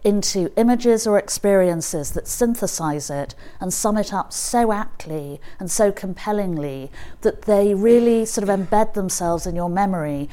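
A woman hosting an event, giving a formal, prepared introduction in an articulate, measured way. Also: fairly low pitch, mellow. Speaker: event host introducing a speaker.

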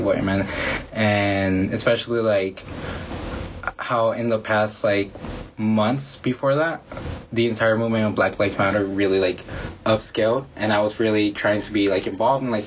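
A young man whose first language is Spanish, answering interview questions in an earnest, halting way full of "like". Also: fairly low pitch, muffled recording, slight background noise. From Mexican-American community. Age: young adult. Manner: earnest, halting, heavy use of "like". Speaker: interviewee, student.

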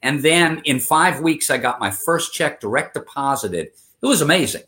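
A man's voice: a little high, expressive, normal speed, sharp tone. A man in his fifties giving an interview, speaking earnestly, with frequent fillers and restarts. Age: 50s. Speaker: interview guest. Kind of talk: conversational interview.

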